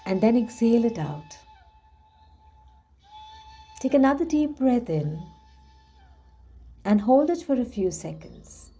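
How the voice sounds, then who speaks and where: Woman, guided visualization host, very mellow and dark tone, unhurried delivery, hushed and soothing. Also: a little high, very animated, husky. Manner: soothing, hushed, gently drawn-out phrasing. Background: background music.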